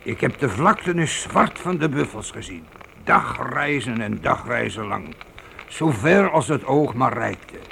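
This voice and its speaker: A man's voice: mid-range voice, expressive, measured pace, gravelly, a bit bright, slight background noise, exaggerated voice. A man acting a seasoned frontiersman in a Dutch radio play, reminiscing emphatically as he tells a tale. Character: seasoned frontier man spinning campfire buffalo tales.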